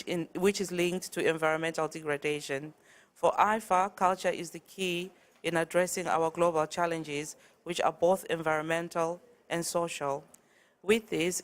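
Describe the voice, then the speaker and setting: A tired woman from Zimbabwe, an artist and curator, gives an earnest conference talk with hesitant restarts. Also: fairly low pitch. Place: conference hall.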